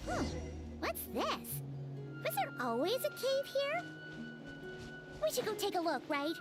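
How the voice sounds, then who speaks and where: A woman voicing a tiny, excitable childlike fairy companion in a video game, with a squeaky, cutesy, exaggerated delivery. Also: measured pace, loud music behind the voice, full character voice. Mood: eager. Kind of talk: video game character acting.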